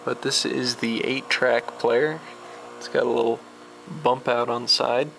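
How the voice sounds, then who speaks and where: Gravelly man casually demonstrating gear. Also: medium pitch, flat delivery. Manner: rambling, halting explanations. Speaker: hobbyist demonstrating vintage audio gear. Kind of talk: casual unscripted product demonstration. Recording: amateur camera recording with background noise.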